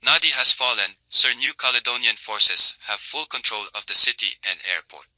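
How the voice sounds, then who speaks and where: A man's voice: mid-range voice, moderate pace, bright, forceful tone, no echo, poor audio. A man playing a dutiful military aide giving serious, deferential briefings in a scripted audio drama. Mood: grave, hesitant. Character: dutiful, sober male military aide reporting.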